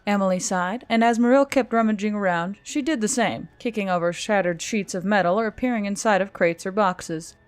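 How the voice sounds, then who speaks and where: A woman narrates a piece of fiction in a medium-pitched voice. She reads scripted prose as an audiobook narrator, with music playing behind her. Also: brisk, hardly any pauses.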